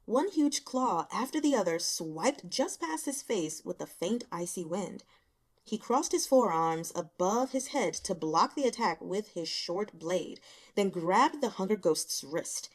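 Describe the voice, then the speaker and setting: A woman reading a story aloud as dramatic, expressive scripted narration. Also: mid-range voice, a little slow. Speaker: audiobook reader.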